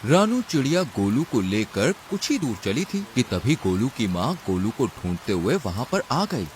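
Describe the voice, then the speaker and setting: Male storybook narrator, animated intonation, mid pitch, scripted delivery with faint background noise. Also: normal speed.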